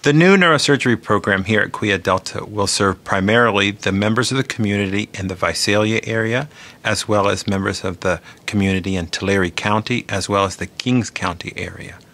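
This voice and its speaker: Low-voiced man, creaky, explaining formally. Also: brisk, fairly bright tone. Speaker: neurosurgeon. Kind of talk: explanatory interview remarks for a hospital newsreel.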